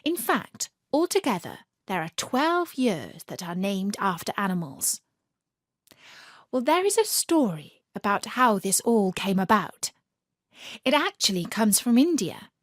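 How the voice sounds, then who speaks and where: A woman storyteller narrating a scripted tale for children in a measured, expressive way. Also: medium pitch, no echo, studio quality.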